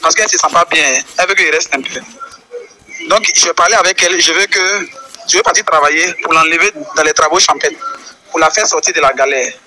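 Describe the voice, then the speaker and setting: A man's voice: on the high side, brisk, bright, forceful tone, rough recording. A man with an Ivorian French accent whose first language is Baoulé, leaving a voice message that explains a controversy and firing off indignant rhetorical questions. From Côte d'Ivoire. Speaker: caller.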